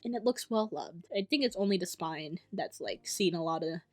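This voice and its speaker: A young woman talking to camera about her summer reading list in a chatty, enthusiastic way, with quick asides and self-corrections. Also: fairly low pitch, expressive, a little mellow, soft background music. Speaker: book vlogger. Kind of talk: casual chat to camera.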